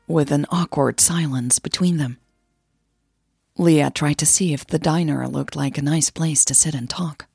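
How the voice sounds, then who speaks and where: A woman narrating a scripted audio drama in a soft, breathy delivery. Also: low-pitched, dry recording, studio quality.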